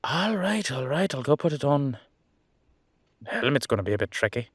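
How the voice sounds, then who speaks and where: A man's voice: medium pitch, big pitch swings, moderate pace, breathy edge, no echo, clean studio sound. A man playing a cheeky, easygoing immortal headless horseman in a roleplay audio drama, talking in a casual, rambling, reassuring way. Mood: exasperated, insistent.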